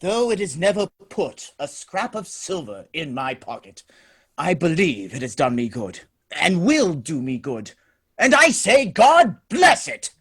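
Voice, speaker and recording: A man playing a cheerful, warm-hearted young nephew who speaks earnestly and upbeat. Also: fairly high pitch, huge pitch swings, unhurried, breathy edge, full character voice.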